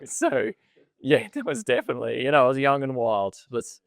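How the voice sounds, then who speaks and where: A man's voice: mid-range voice, sing-song, moderate pace, gravelly, no echo. A middle-aged man with an Australian accent, telling his life story as a podcast guest in a chatty, self-deprecating way. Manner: chatty and self-deprecating, often repeats words and laughs. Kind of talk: casual storytelling interview.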